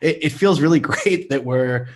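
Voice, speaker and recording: A man's voice: a little high, moderate pace. A man chatting as a show guest, thoughtful and slightly hesitant. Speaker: sound designer, guest. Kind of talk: casual interview chat.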